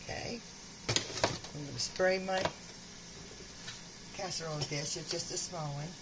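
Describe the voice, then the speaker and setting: A woman's voice: deep voice, steady tone, measured pace. An older woman with a sore throat, chatting homily as she cooks in her kitchen. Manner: homey, chatty, talks while cooking. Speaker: home cook. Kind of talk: casual cooking vlog. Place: home kitchen. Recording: loud kitchen sizzle noise. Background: frying and sizzling food.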